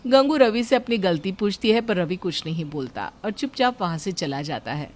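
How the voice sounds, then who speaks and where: A woman's voice: mid-range voice, animated, normal speed. Woman narrating a scripted story.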